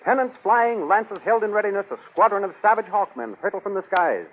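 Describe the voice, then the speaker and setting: A man's voice: high-pitched, fairly quick, slight background noise. A man narrating a 1930s radio serial with grandiose, urgent declamation. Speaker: announcer-narrator. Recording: tinny 1930s radio recording. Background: music.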